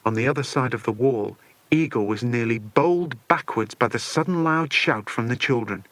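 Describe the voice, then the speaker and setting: A man narrating a children's adventure audiobook in an expressive scripted reading. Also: mid-range voice, normal speed, no room sound.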